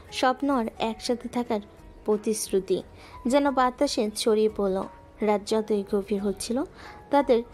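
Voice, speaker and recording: A woman narrating a romantic audio story in a gentle, measured way, with soft music underneath. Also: a little high.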